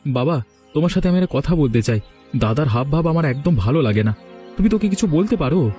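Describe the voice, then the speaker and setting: An actor playing an earnest young student in a cartoon family story. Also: male voice, a little high, expressive, fast talker, dark tone, soft background music, lightly acted. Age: young adult. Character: earnest young student son of moneylender, supportive sibling. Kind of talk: Bengali cartoon story dialogue.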